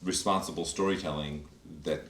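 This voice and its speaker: A man's voice: extremely low pitch, fairly even intonation, moderate pace. A man chatting casually about the show, thoughtful and often searching for the right words. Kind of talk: casual chat. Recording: roomy echo, distant mic, faint noise.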